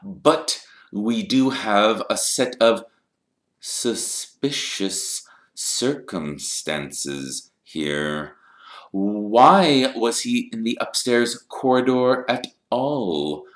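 A man narrator puts on a sly, sneering male teacher in a storybook. He speaks very slowly and deliberately, insinuating guilt, with the room echoing around him. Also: fairly low pitch, expressive, pitched above the performer's own voice. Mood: silky, suspicious. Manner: slow, drawn-out, insinuating. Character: cold, sneering male schoolteacher, slyly suspicious.

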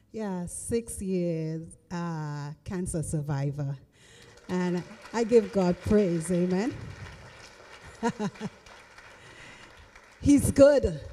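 Slow-talking middle-aged woman minister. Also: lowish voice. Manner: soft-spoken and chatty, says she has a big voice. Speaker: singer and minister. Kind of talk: spoken introduction then worship singing. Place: church sanctuary with cross on stone wall. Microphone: handheld mic. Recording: music faintly behind. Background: accompanying music.

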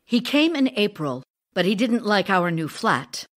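An actress playing a devoted, anxious wife in an audio drama, voicing letters to her absent husband in an intimate, earnest, expressive way. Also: medium pitch, unhurried. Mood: narrating, reflective. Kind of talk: audio drama acting, reading love letters aloud.

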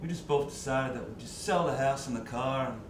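A man's voice: medium pitch, brisk, close to an everyday voice. A man playing an older, friendly but nosy traveler who talks in a chatty, fatherly way and hesitates. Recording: distant, echoey, noisy.

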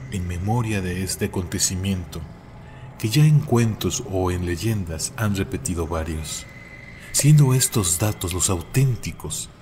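A man narrating scripted horror legends in a solemn, deliberate way with dramatic emphasis. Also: low-pitched, background noise. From Mexico.

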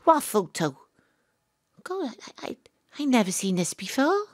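A woman's voice: fairly high pitch, very animated, unhurried, no echo, clean studio sound. An actress playing an earnest, emotional teenage girl in an audio drama. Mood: bewildered. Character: earnest teenage girl narrating her ghostly adventure, emotional. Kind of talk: audio drama monologue and dialogue.

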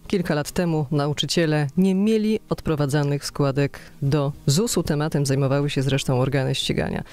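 Woman host firing off short pointed questions, brisk. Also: low-pitched, steady tone, a little mellow. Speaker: radio interviewer. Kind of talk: radio interview questions.

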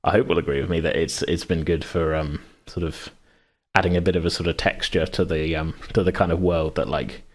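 Male podcast host, very low voice, rambling casual chat with chuckles and self-corrections. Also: fairly even intonation, a little fast. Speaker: podcast host and game master.

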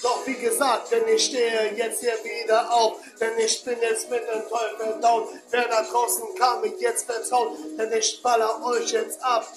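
A young man from Germany with a cold, freestyle rapping boastfully into a webcam in a dark bedroom. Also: extremely high pitch, monotone, measured pace, hardly any pauses, music in the background, poor audio. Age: young adult. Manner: boastful, repetitive rhymes. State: has a cold. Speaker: amateur rapper. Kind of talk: freestyle rap over a beat. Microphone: webcam at close range. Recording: beat playing under the voice. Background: loud backing beat.

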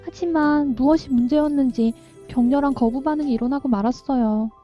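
A woman's voice: sits high, fairly quick. A woman reading a visual novel heroine's dialogue aloud on a game stream. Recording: dull lo-fi voice chat with music.

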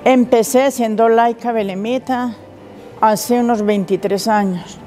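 A woman's voice: a little high, fairly even intonation, measured pace, dark tone, soft background music. An older woman from Colombia with a Colombian Spanish accent, a lay minister of the parish, speaking plainly and earnestly to camera in a church. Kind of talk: testimony to camera. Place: inside a church, before the altar.

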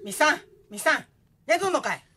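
Bossy ghost wife, female actor, Kansai accent, high animated voice, blunt comic radio scolding. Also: fast talker, heavy background noise.

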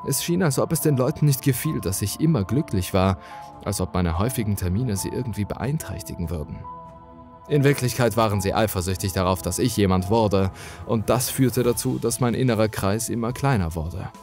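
A man's voice: on the low side, lively intonation, a little fast, darkish tone, faint music behind. A man reading a scripted first-person horror story as its narrator.

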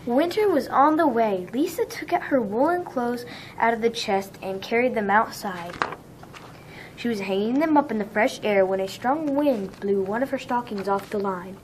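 A woman's voice: a little high, moderate pace, background noise. A woman narrating a children's storybook, reading it aloud.

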